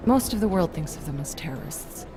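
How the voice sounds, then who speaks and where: An actress playing a calm, probing doctor who questions a patient in a game cutscene. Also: female voice, low voice, fairly quick. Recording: game cutscene with loud music.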